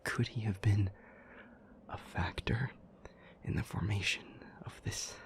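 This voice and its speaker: A man playing a troubled, powerful demon in an intimate audio roleplay, speaking breathily in deliberate, halting phrases. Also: on the low side, close to an everyday voice. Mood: grave, intense. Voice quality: breathy, half-whispered. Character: troubled, powerful male demon confiding gravely in a lover.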